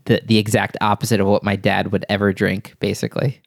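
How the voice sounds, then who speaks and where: A man in casual chat who asks halting, jokey questions with many restarts. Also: on the low side, little pitch movement, vocal fry, dry recording, studio quality.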